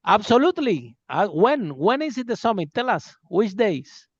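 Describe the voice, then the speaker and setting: A man's voice: high voice, very animated, normal speed, slightly rough, no room sound, lo-fi sound. A man hosting a podcast in casual conversation, eager and full of fillers, speaking English as a second language. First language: not English. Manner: enthusiastic, many fillers and restarts. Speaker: podcast host. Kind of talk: casual interview chat.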